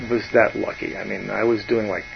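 A man, a bass player chatting casually and rambling on, often trailing off softly. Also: flat delivery, brisk, vocal fry, lo-fi sound, faint music behind. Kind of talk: casual musician chat.

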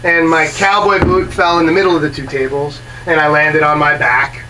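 A man from Brooklyn, a working musician, tells easygoing stories and laughs often. His voice sits a bit high for a man. He sounds set back from a distant mic, with faint noise underneath. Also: level tone, moderate pace. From Brooklyn, New York. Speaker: guest musician. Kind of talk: casual chat.

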